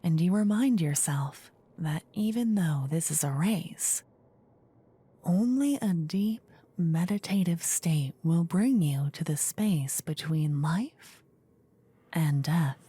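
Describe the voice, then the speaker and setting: A woman narrating a fantasy ASMR story in a soft, soothing whisper, measured and very close to the mic. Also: fairly low pitch, expressive, slightly rough. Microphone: close ear-to-ear ASMR microphone.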